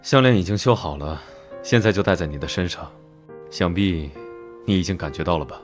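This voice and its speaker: A man playing an aloof, commanding nobleman in an animated drama, measured and detached with a dry, teasing edge. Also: low voice, soft background music, close to an everyday voice. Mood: cool, grave. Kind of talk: Chinese animated drama voice acting.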